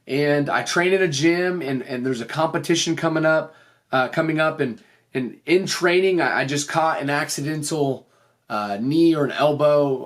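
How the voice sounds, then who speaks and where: A man hosting a motivational podcast, speaking in an earnest, explanatory way, his voice slightly off after recently losing it. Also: on the high side. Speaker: podcast host and teacher.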